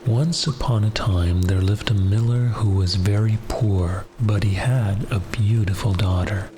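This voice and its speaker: A man reading a scripted fairy tale as an audiobook narrator, in a measured, hushed bedtime manner. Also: on the low side, fairly even intonation, noisy background. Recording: music behind the voice. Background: music.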